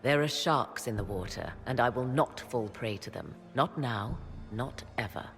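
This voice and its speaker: Sly female court occultist for a fantasy game: very deep, lively intonation, archaic and imperious. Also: a little hiss or hum. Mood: proud, defiant. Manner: archaic, theatrical, deliberate phrasing. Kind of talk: dramatic video game dialogue. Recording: long hall reverb under clear music.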